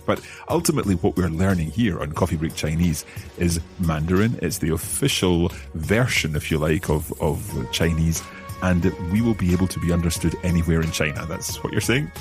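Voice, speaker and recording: A man's voice: low voice, a little fast, soft background music. A man with a Scottish accent hosting a language lesson podcast as the learner, presenting in a conversational, explanatory way. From Scotland.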